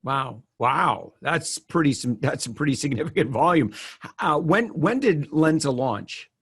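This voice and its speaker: A man's voice: on the high side, lively intonation, measured pace, a bit bright, husky. A man hosting a casual interview, asking questions in a chatty, easygoing way. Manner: chatty, laughs easily. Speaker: podcast host.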